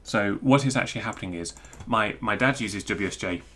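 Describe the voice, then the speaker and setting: A man giving an informal technical screencast walkthrough, thinking aloud in a halting, self-interrupting way. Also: on the low side, a bit bright, slight background noise. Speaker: presenter explaining technical material.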